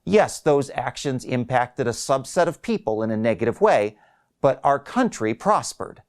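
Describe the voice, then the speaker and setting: A man's voice: mid-range voice, animated, moderate pace, smooth, pitched above the performer's own voice, natural voice. A man voicing a detached, officious female call agent who speaks in formal, bureaucratic phrases in a short story. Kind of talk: acted short story dialogue.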